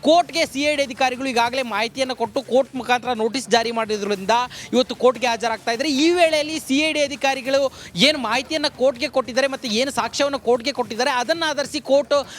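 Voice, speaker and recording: A man's voice: very high-pitched, fairly even intonation, fast talker, a bit bright, slight background noise. A man giving an unscripted live news report to the studio, explaining in wordy, formulaic reporter phrasing.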